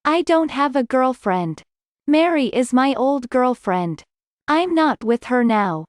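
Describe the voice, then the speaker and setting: A woman reading scripted English lesson dialogue, slowly and clearly, in carefully spaced sentences. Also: high-pitched, lively intonation, no room sound, studio quality.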